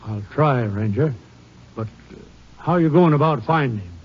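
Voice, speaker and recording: Folksy, wary rancher. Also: male voice, mid-range voice, lively intonation, moderate pace. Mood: folksy, unsure. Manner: hesitant, colloquial. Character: folksy, wary male Texas ranch owner. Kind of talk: 1950s western radio drama acting. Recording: muffled, noisy vintage radio recording.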